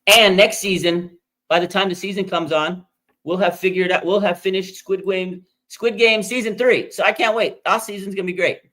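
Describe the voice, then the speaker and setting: American guy around forty, live-stream host, fairly high voice, excitable and jumpy in pitch. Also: moderate pace. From Las Vegas, Nevada, USA. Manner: excitable, with sudden pitch jumps. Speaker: livestream host. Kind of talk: casual live chat, reading fan comments.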